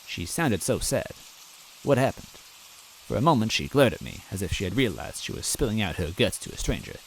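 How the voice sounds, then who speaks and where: A man's voice: fairly low pitch, big pitch swings, brisk, vocal fry, a little hiss or hum, poor audio. A man reading a fan story aloud in first person, earnest and timid in tone. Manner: earnest and timid, self-deprecating. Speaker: story reader.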